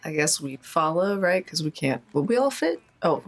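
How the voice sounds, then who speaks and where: A woman with a distinctly low-pitched voice takes part in a tabletop game. She speaks in casual, conversational turns as one of the players. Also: very animated, moderate pace. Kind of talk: casual chat.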